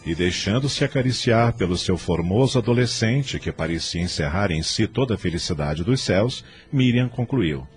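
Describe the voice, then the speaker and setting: Deep, expressive male radio drama narrator. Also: moderate pace, few pauses. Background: faint background music.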